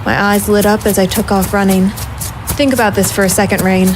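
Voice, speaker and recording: A woman's voice: medium pitch, little pitch movement, a little fast, noisy background, close to an everyday voice. A woman playing a hardboiled, sardonic private investigator in a noir audio drama, with a wry, self-mocking manner. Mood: wry, tense. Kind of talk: first-person noir audio drama narration and dialogue.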